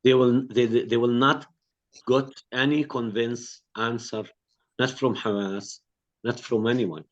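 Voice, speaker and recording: A man's voice: medium pitch, steady tone, measured pace, lo-fi sound. A man speaking earnestly on an online panel, often repeating words as he searches for how to say things. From Palestine. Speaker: co-director of a peace network, panel speaker.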